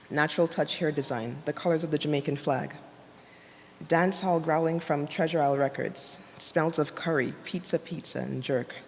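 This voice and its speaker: A soft-voiced woman reading a prepared academic conference talk in a measured, formal way, enunciating carefully. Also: low-pitched. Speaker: academic presenter, designer. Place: indoor conference room at a university. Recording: reverberant hall, noticeable background noise.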